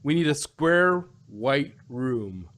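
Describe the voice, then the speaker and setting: A man co-hosting a casual fan discussion, talking in halting phrases with a joking bent. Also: mid-range voice, big pitch swings, a bit bright. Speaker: podcast co-host.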